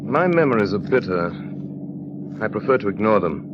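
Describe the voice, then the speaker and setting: Casting: imperious middle-aged widower, very animated delivery, 1940s radio acting, dull old recording. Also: male voice, on the low side, normal speed, heavy background noise. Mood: bitter, brooding. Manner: abrupt, imperious. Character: brusque, brooding, commanding wealthy widower. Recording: dull vintage radio recording, music behind.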